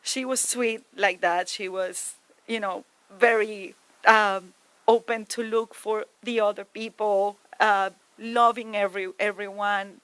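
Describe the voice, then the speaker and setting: A woman giving a memorial tribute to a neighbor and friend, reminiscing fondly with pauses. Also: mid-range voice, fairly bright tone, dry recording, poor audio.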